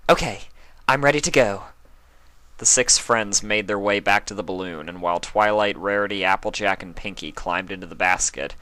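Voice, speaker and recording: Male storybook narrator, calm and steady, low voice, dry sound. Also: fairly quick, hardly any pauses, clean tone, no room sound. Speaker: reader. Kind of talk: fan fiction audiobook narration.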